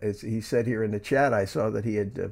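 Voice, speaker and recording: A man's voice: lowish voice, fast talker, gravelly, darkish tone. A man in his seventies from Philadelphia, hosting a casual morning livestream, rambling with many ums and restarts as he reads out and answers viewer chat. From Philadelphia, USA. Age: 70s. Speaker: livestream host.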